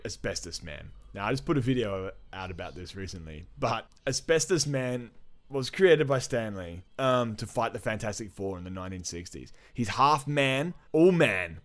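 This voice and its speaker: A man hosting a podcast, chatting casually and telling stories in an animated, slangy, sweary way. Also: fairly low pitch, moderate pace. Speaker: podcast host. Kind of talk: casual chat about comics.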